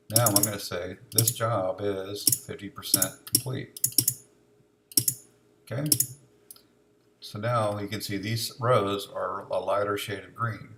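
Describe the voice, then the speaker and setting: Male software demonstrator, low voice, informal step-by-step walkthrough, some background noise on the recording. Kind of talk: unscripted step-by-step software tutorial narration.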